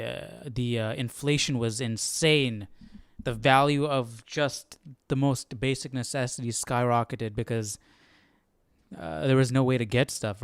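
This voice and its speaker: A man hosting a Bible study livestream, thinking aloud in a casual, informal way and often halting to search for words. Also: medium pitch, clean tone, no room sound.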